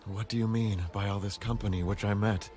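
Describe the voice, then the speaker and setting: An actor playing a gruff, magnanimous brother and chieftain in a dramatized scripture recording. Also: male voice, lowish voice, little pitch movement, fairly quick, darkish tone, lightly acted. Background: music.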